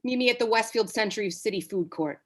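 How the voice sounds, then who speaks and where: A woman's voice: medium pitch, fast talker, close to an everyday voice. A woman reading the part of a crude, cocky male Hollywood agent in a comedy table read, blunt and taunting. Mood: needling, blunt. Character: brash, crude, foul-mouthed male Hollywood talent agent.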